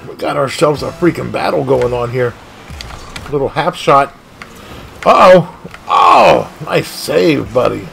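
A man streaming a car soccer game, commentating his own play with excited outbursts and frustration at near misses. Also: medium pitch, takes it slowly, gravelly, long pauses, faint music behind. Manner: animated, exclaiming, frustrated at missed shots. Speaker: gaming streamer. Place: home gaming room.

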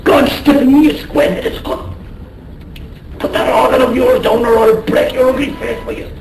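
An actor playing a rough, loyal Irish sailor in a radio drama, blustering yet tender, with an Irish accent. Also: male voice, very high voice, steady tone, normal speed, a little mellow, recorded from a distance. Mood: angry. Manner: blustery, colloquial. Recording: roomy 1980s radio drama, faint noise.

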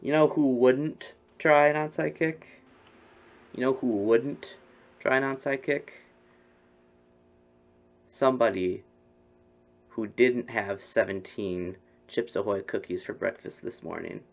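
Slow, creaky young man streaming games. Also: mid-range voice. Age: young adult. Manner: repeats phrases over and over. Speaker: game streamer. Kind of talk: casual joking gameplay commentary. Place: bedroom, indoors. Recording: dull, lo-fi webcam stream audio.

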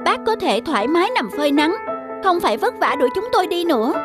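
A woman's voice: very high voice, big pitch swings, fast talker, over music. A woman voicing a small, timid male mouse in a children's cartoon, pleading and wheedling deferentially.

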